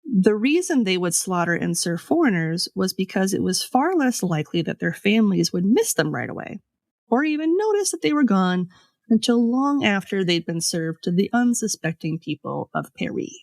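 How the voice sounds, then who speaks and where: A woman podcast host telling a scripted story in a conversational way, with pauses for dramatic effect. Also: mid-range voice, big pitch swings, creaky, a little mellow, dry recording, clean studio sound. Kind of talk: scripted storytelling with casual asides.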